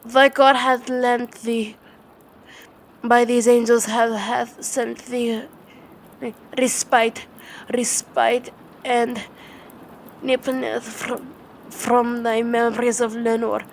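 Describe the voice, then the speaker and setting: A woman's voice: on the high side, level tone, takes it slowly, pauses often, slight background noise. A woman voicing an anguished, grief-stricken man in a dramatic gothic poetry recitation, stumbling over words.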